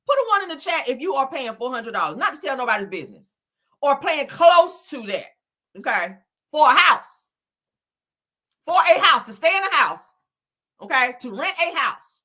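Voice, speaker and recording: A woman giving animated online reaction commentary in a blunt, scolding, emphatic manner, swearing freely and repeating phrases for effect. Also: high-pitched, moderate pace, pauses often. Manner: blunt, scolding, heavy profanity. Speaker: YouTuber commentating on celebrity and viral topics.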